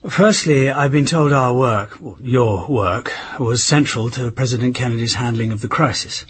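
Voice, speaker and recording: Actor as an urbane, wry British husband, faint noise. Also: male voice, mid-range voice, normal speed, close to an everyday voice. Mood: wry, grave. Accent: British English. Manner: clipped, understated, dryly ironic. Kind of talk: radio drama acting.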